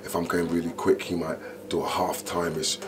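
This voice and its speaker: Deep-voiced man, noisy room. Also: moderate pace. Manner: animated, repeats "you know" and "do you understand". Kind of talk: interview, casual storytelling. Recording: noticeable background noise.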